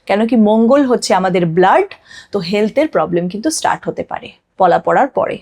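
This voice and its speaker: A woman's voice: mid-range voice, lively intonation, a little fast, a little mellow. A woman explaining like an astrologer on a podcast, emphatically asking and answering her own questions and mixing in English phrases.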